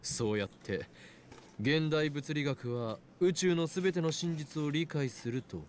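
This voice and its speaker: A man's voice: a little high, fairly quick, slight background noise, close to an everyday voice. A man playing a dramatic, self-styled young mad scientist in a visual novel, theatrical and declamatory. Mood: defiant, theatrical. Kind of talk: Japanese visual novel voice acting.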